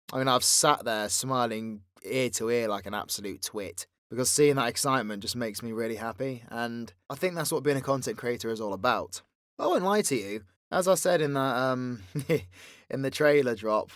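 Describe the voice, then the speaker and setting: British man, soft-spoken, casual confessional talk, unhurried, candid, dry close recording. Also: medium pitch, no room sound. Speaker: audio roleplay creator and voice actor.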